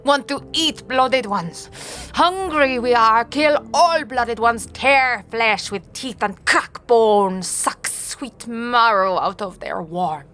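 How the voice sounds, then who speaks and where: A woman playing a ravenous, menacing ghoul queen in a fantasy audio drama, speaking slowly in broken, repetitive phrases peppered with French. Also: on the high side, lively intonation, a bit bright, soft background music. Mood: frenzied hunger.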